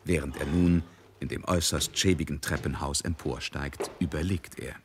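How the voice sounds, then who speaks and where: A man's voice: fairly even intonation, normal speed, a little hiss or hum. A man narrating a literary radio drama in a scripted, storytelling style. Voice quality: husky, deep.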